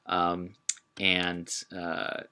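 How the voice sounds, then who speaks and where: A man giving an informal history lecture in a halting way, with emphatic, dramatic delivery when quoting. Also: low-pitched, completely flat delivery, bright, forceful tone, clear, resonant tone. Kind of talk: informal illustrated public lecture. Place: talk given to a live group.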